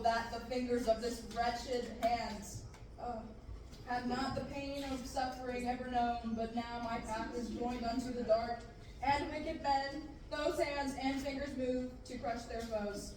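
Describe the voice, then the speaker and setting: A woman reading the part of a menacing male dark lord in slow, grandiose Shakespearean verse at an amateur table reading. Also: on the high side, a little hiss or hum, natural voice. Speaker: table-read actor. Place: large classroom, indoors. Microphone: far camera. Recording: echoey room from far off.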